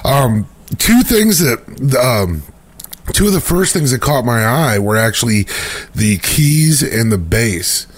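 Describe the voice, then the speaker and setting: A man's voice: mid-range voice, big pitch swings, slow. Chatty male interviewer. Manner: chatty, many fillers like "you know" and "like". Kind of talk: casual interview talk.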